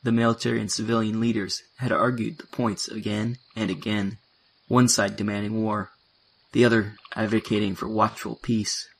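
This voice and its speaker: A man narrates a science fiction audio drama in a lowish, gravelly voice. His tone is husky and rough-edged, delivering scripted prose. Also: level tone, normal speed, rough recording.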